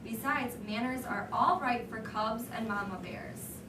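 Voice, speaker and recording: A self-important papa bear from a children's storybook, read by a woman, lectures on manners. The voice sits on the high side, in a roomy, noisy recording. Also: a little slow, distant mic, lightly acted. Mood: lecturing. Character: gruff father bear, fussy about manners.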